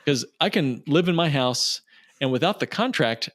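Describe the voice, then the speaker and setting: A man's voice: on the high side, lively intonation, moderate pace, fairly bright tone, no echo, studio quality. Emphatic man explaining on a podcast. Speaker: financial planner, podcast guest. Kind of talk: conversational explaining on an interview podcast.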